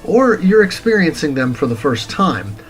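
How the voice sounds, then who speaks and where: A man of forty reading a scripted retro game review as host, in a deliberate, articulate, wordy way. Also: a little high, sing-song. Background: music.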